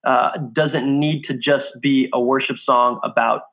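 A middle-aged man from Brazil, a guest on a casual podcast, speaking in an earnest, deliberate way about his music blog. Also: medium pitch, steady tone, fairly bright tone, poor audio. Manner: earnest, deliberate, acts out quotes in a raised voice.

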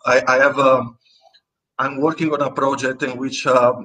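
A man's voice: mid-range voice, little pitch movement, normal speed, sharp tone, poor audio. A man with an Italian accent, a native Italian speaker, talking as a guest artist in a casual interview, hesitant and full of fillers and restarts. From Italy.

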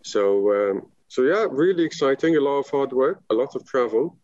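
A man's voice: mid-range voice, animated, normal speed, a little mellow, no echo, muffled recording. A man from Lebanon, a guest talking with enthusiasm in a casual podcast chat, rambling with many fillers. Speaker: guest, business founder.

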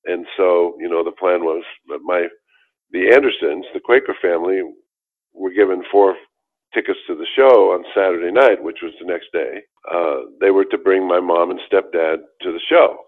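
A man's voice: sits low, moderate pace. An older man telling a long personal story in a casual interview, rambling with many restarts and fillers. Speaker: guest telling his life story. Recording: tinny phone-like line.

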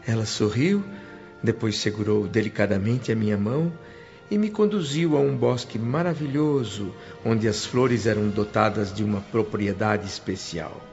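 Guy acting as reverent brazilian spirit narrator for a radio play, lowish solemn voice, soft music behind. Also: normal speed, lightly acted. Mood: awed. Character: emotional male spirit recalling a blissful afterlife, reverent and awed. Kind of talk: scripted first-person dramatic narration. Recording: slightly dull radio drama with music.

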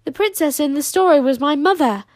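A woman voicing an eager, excitable young girl who talks in a breathless rush. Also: high-pitched, brisk, no echo, studio quality, voice pushed up.

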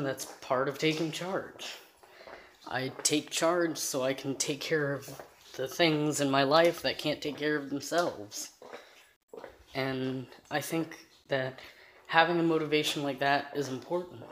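A man with a high voice giving an earnest, rambling personal monologue to camera, trailing off into fillers as he thinks aloud. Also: slight background noise. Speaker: vlogger.

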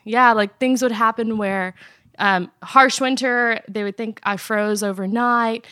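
Smooth-voiced young woman telling her story. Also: a little high, measured pace, no echo, studio quality. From Afghanistan. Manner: earnest, steady, soft laughs. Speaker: interview guest. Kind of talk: personal storytelling in an interview. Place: apartment in Nashville.

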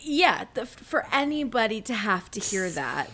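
A woman in casual conversation, supportive and quick to agree. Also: fairly high pitch, big pitch swings, a loud crowd.

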